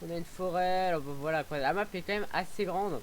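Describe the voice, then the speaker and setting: A young man casually commenting on a driving game, rambling with many fillers and restarts, sometimes whooping with excitement. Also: sits high, brisk, a little hiss or hum. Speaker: gaming YouTuber.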